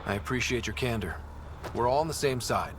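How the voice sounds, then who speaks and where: An actor playing an earnest, resolute space expedition leader in a video game, with an assured delivery. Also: male voice, medium pitch, lively intonation, a little fast, background noise, natural voice. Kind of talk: video game cutscene acting.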